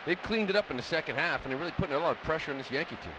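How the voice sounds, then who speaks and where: Fast-talking man analyzing live baseball on radio. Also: a little high, animated. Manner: conversational, opinionated. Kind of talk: live sports color commentary. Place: stadium broadcast booth. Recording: noisy live ballpark radio broadcast.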